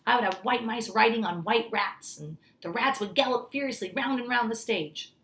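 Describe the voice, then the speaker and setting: A woman's voice: fairly high pitch, normal speed, fairly bright tone. Woman reading a children's story aloud. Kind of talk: children's story read-aloud with brief asides.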